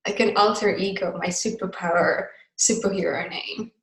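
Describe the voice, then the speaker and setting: A woman's voice: mid-range voice, steady tone, normal speed, fairly bright tone, echoey room, rough recording. A woman whose first language is Finnish, leading a live online class in a casual, chatty way with easy laughs and rambling asides. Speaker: class facilitator. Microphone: video call mic.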